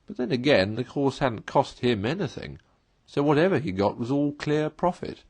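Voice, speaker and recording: A man reading a classic story aloud as narrator, in an expressive storytelling style. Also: medium pitch, moderate pace, husky.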